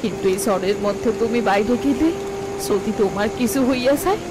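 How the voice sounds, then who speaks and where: A woman's voice: a little high, a little fast, heavy background noise, lightly acted. A woman voicing a protective farmer's wife and mother in a cartoon, scolding and pleading in village speech. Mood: anxious. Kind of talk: cartoon voice acting. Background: background music.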